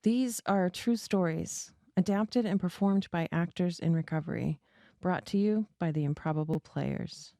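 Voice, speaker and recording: A woman's voice: lowish voice, unhurried, gravelly, few pauses, warm tone. A woman performing as narrator in an audio drama, a weary, resigned addict reciting rhymed verse. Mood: solemn.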